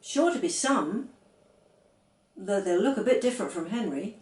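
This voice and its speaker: A woman's voice: mid-range voice, lively intonation, moderate pace, a little mellow, roomy sound, close to an everyday voice. A woman reading the part of a mild, easygoing father, hesitant and placating, in a children's storybook. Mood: reassuring. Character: fond father, mild, teasing and easygoing man.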